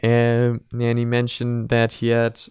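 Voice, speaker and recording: Clear-voiced man reacting casually, close and dry. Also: medium pitch, monotone, takes it slowly, a little mellow, no room sound. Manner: rambling, repetitive analysis. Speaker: reaction video host.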